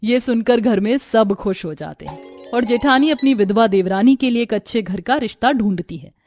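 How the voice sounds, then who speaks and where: A woman's voice: on the high side, animated, normal speed, darkish tone, soft background music. A woman telling a scripted family story as its narrator.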